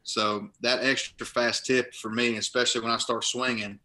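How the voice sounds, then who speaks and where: A man's voice: medium pitch, flat delivery, normal speed, sharp tone. A young man, a guest in a casual interview, talking from his living room over a laptop video call, rambling and restarting phrases as he explains. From Kentucky. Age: young adult. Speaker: guest, college linebacker and bass angler. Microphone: laptop webcam on video call.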